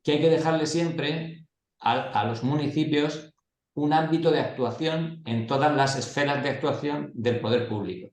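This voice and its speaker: A man's voice: a little high, moderate pace. A man lecturing a class of local-government staff, asking and answering his own questions in a conversational way. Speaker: course instructor. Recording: echoey classroom.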